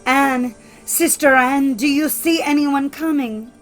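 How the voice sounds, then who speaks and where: Woman acting a high-pitched, desperate young wife. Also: takes it slowly, a bit bright, soft background music. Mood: desperate pleading. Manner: imploring, dramatic. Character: desperate, pleading young wife in mortal fear.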